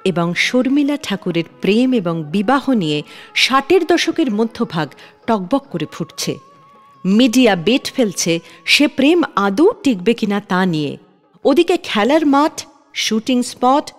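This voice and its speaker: A woman radio presenter narrating a story in a dramatic, expressive way, her pitch rising and falling freely. Her phrases often trail off softly. Her voice carries a long reverb, with faint music playing behind her. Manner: dramatic, expressive delivery that sinks soft at the ends of phrases.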